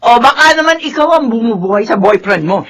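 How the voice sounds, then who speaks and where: A woman's voice: on the high side, lively intonation, fairly quick. A woman playing a stern middle-aged mother who nags and scolds in a radio drama. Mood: exasperated, scolding. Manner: scolding, suspicious, sharp. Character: stern, nagging mother scolding her grown daughter.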